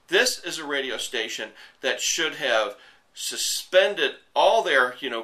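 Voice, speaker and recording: A very bright, forceful male voice with an American accent and a lively, swinging pitch. He speaks off the cuff as a show host, blunt and indignant, hammering his points to the viewers. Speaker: host of an online commentary show. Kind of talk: off-the-cuff opinionated rant to viewers.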